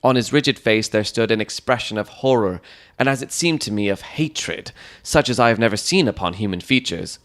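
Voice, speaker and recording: Low-voiced man narrating a mystery. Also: a little fast, fairly bright tone. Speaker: audiobook narrator. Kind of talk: scripted dramatic narration of a Victorian mystery.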